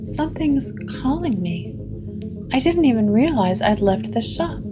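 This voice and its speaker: A woman's voice: a little high, animated, measured pace. A woman voicing an ancient, haunted woman who speaks in a fragile, faltering way. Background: guitar music.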